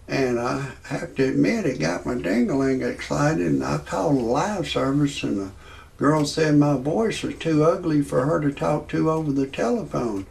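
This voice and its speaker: A man, telling a rambling parody comedy monologue in a halting way, full of "uh" fillers and restarts. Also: a little high, a little mellow. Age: 60s. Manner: slow, halting delivery with many "uh" fillers and restarts; gravelly voice. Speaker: comedy storyteller.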